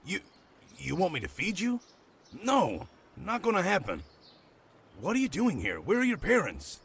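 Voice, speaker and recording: Man as a brusque, animated, irritated stranger. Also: high voice, moderate pace, husky, exaggerated voice. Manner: brusque, incredulous. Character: irritated stranger guarding his garbage, brusque and questioning. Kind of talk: audio drama acting.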